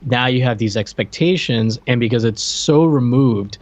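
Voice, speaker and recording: A slightly muffled, lo-fi recording of a man co-hosting a podcast. His voice sits at a medium pitch, and he chats informally as he reviews old media. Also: normal speed, darkish tone. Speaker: podcast co-host. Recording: slightly dull, lo-fi microphone sound.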